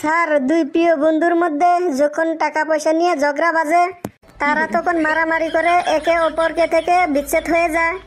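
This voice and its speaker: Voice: extremely high pitch, flat delivery, a little fast, slight background noise. A performer voicing a cheeky, naive schoolchild in a comic cartoon, pleading and earnest in manner.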